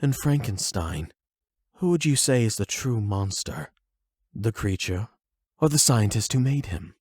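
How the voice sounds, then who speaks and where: Man acting a calm, low-voiced, erudite therapist. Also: husky, no echo, studio quality. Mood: probing. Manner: measured, formal, probing, gentle. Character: calm, erudite male therapist and demi-human expert. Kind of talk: anime audio roleplay acting.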